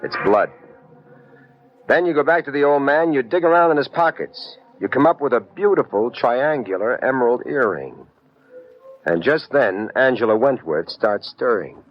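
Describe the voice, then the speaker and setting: A man's voice: medium pitch, expressive, moderate pace, lightly acted. A man playing a wry, businesslike hard-boiled detective in an old-time radio drama, at times narrating his own case. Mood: wry, intent. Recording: dull vintage radio recording.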